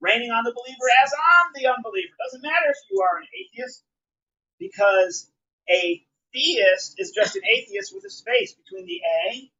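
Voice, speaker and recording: A man's voice: extremely high pitch, expressive, moderate pace, bright, forceful tone. A man giving a live spoken-word poetry reading, with an emphatic, rhythmic, declamatory delivery. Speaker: poet. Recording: dull, lo-fi live recording.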